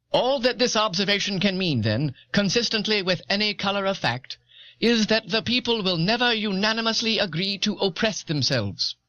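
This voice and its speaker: A man playing a learned eighteenth-century American statesman, reading historical writings in a formal, deliberate, argumentative manner on an old cassette. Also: high-pitched, sharp tone. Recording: dull, dry old audio cassette.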